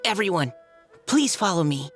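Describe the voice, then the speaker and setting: A woman's voice: on the low side, sing-song, moderate pace. A woman voicing an earnest, polite, thoughtful young female adventurer in a video game cutscene. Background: game music.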